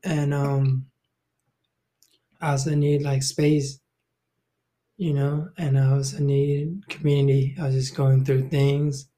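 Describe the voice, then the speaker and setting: A man's voice: medium pitch, flat delivery, takes it slowly, pauses often, warm tone, poor audio. A young man from Thailand speaking as a podcast guest. He talks in a rambling, laid-back way, with many fillers and restarts. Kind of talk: casual conversational interview.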